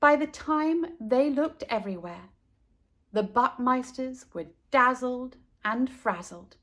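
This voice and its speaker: High-pitched, animated woman storyteller. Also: takes it slowly. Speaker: children's book reader. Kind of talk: animated storybook narration for kids.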